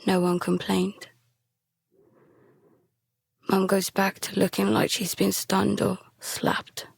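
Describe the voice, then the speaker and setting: A woman playing a frightened, guilt-ridden youngster who narrates and speaks in an audio drama, halting and stammering. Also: medium pitch, level tone, vocal fry, husky, dry recording, full character voice. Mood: haunted, subdued. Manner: halting, stammering, breaking into whispers. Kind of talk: first-person audio drama narration with dialogue.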